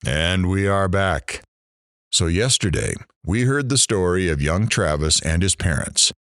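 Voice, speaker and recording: Brash low-voiced radio shock jock played by a man. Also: lively intonation, a little slow, fairly bright tone, no echo, clean studio sound, heavily acted. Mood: teasing, showy. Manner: theatrical, sarcastic, showy. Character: brash sardonic middle-aged male radio shock jock. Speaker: radio talk show host. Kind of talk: audio drama, radio DJ patter.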